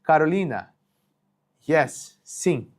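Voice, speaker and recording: A man's voice: a little high, animated, takes it slowly. Portuguese-speaking man fielding live questions. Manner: conversational, many fillers and restarts, checks in with "okay?". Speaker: company co-founder answering live questions. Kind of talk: live Q&A explaining business rules. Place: home studio with shelves and green lighting.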